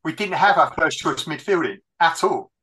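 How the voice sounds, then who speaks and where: A man in casual football chat, talking in an animated, argumentative way. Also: fairly high pitch, moderate pace, slightly rough, rough recording.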